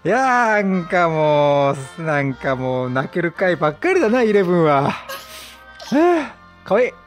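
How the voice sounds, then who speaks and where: A man's voice: sits high, big pitch swings, normal speed. A man giving casual let's play commentary, chatty and teasing as he reacts to the game. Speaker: video game streamer. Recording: game music behind the voice.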